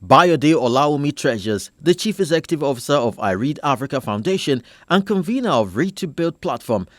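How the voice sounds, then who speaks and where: A man's voice: a little high, very animated, brisk, no echo, clean studio sound. A man narrating a scripted news report.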